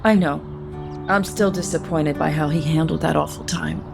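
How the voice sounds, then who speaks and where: Lowish-voiced, protective soap opera mother. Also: normal speed, vocal fry, over music. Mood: concerned, insistent. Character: protective, meddling, well-off mother of a grown son. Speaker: actress in an audio soap opera. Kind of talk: naturalistic soap opera acting.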